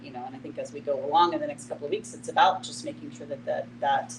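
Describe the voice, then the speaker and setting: A woman's voice: deep voice, steady tone, quick pace. A middle-aged woman talking candidly and with empathy in a casual group discussion. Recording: noisy room, distant mic, hum.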